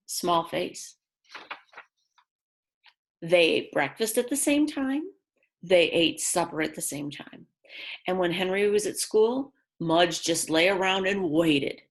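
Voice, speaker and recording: A woman reading a picture book aloud to young children, expressively and simply, with chatty asides to her listeners. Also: fairly low pitch. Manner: slow, simple phrasing with dramatic emphasis and chatty asides. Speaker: children's read-aloud storyteller.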